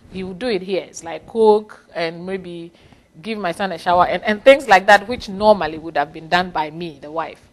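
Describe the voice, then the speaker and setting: Interviewee: middle-aged woman from Ghana, first language Fanti, mid-range voice, reflective and given to light laughter. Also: mid-range voice. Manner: thoughtful, frequent laughs and restarts.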